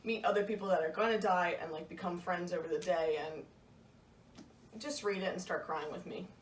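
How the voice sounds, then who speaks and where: A young woman with a slightly low voice talks casually and earnestly about books, wording things loosely as she goes. The recording is roomy and a bit far from the mic, with light noise. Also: a little fast. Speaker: book vlogger, co-host. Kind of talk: casual book chat. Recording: echoey room, distant mic, faint noise.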